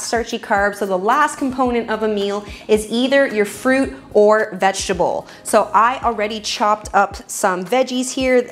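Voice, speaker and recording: Young female fitness coach, energetic and chatty, mid pitch, recorded in a small home kitchen. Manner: energetic, chatty, gestures while talking. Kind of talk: upbeat meal prep tutorial to camera.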